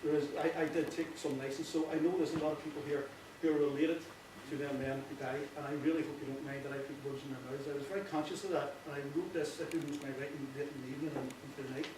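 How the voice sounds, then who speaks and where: A man with a Northern Irish accent giving an informal, earnest speech, often halting and repeating himself. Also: on the high side, steady tone. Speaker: playwright giving a thank-you speech. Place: echoey indoor hall before an audience. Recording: very echoey, distant, noisy with clicks.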